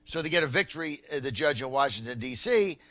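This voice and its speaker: An American man hosting political talk radio, with an incredulous, emphatic and halting way of talking. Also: medium pitch, lively intonation, sharp tone, no room sound. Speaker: radio talk show host.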